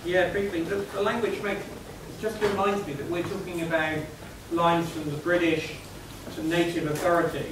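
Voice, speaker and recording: A man on an academic panel making a brisk point. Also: a little high, flat delivery. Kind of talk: academic round-table discussion. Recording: echoey hall, distant mic, background noise.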